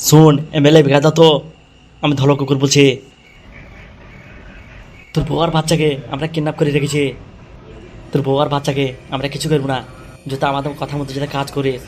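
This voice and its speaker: A man's voice: a little high, monotone, a little fast, long pauses, darkish tone, slight background noise, close to an everyday voice. A man playing a threatening, foul-mouthed gangster kidnapper in an amateur comedy cartoon, blunt and bullying. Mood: menacing.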